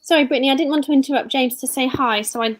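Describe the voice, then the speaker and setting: A woman's voice: sits high, steady tone, rapid-fire. British woman rambling in live chat. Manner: rambling, self-correcting. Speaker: live-stream host. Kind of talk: casual live-stream chat.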